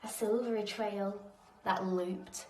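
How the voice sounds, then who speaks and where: A woman reading a rhyming children's story aloud like a primary teacher, with expressive, dramatic emphasis. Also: medium pitch, takes it slowly. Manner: animated, dramatic emphasis with audible gasps. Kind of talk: expressive picture-book read-aloud. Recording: roomy, echoing indoor sound.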